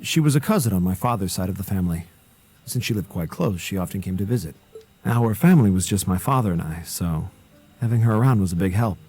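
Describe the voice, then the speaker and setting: Game role: guarded, earnest young man, soft dark tone, measured and articulate storyteller. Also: low-pitched, lively intonation, breathy edge, faint music behind. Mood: somber. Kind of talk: video game voice acting, solemn storytelling.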